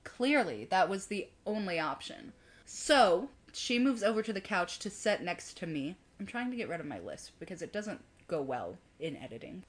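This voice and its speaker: A woman's voice: fairly low pitch, very animated, moderate pace. A woman co-host shares a personal story in a casual, conversational way. Her humor is dry and self-deprecating, and she tells it as if chatting to a friend. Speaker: video co-host.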